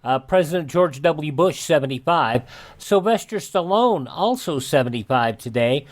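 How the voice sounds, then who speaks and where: Radio host guy, really animated delivery, reads stuff out like a presenter. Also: on the high side, moderate pace. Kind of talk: radio show hosting and ad reads.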